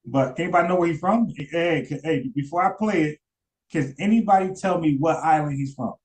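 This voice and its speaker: A man's voice: a little high, brisk, poor audio. Rambling man commenting to a live chat. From United States. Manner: rambling, repeats words, asks chat questions. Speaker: commentary streamer. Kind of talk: casual live-stream commentary.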